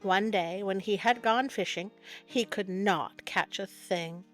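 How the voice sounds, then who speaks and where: A woman's voice: medium pitch, slow pace. A woman hosting a story show, reading a folk tale aloud with playful, wry asides. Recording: music bed under the voice. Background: music.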